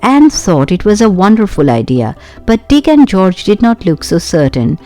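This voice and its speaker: A woman narrating a children's adventure story in an expressive, clearly enunciated way. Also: mid-range voice, moderate pace. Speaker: audiobook narrator. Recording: music behind the voice. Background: background music.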